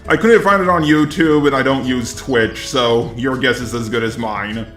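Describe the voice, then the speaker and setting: A man's voice: medium pitch, normal speed, a bit bright. Echoey synthetic robot man. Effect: text-to-speech robot voice. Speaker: YouTube commentator responding to criticism. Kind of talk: commentary. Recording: echoey, faint music behind.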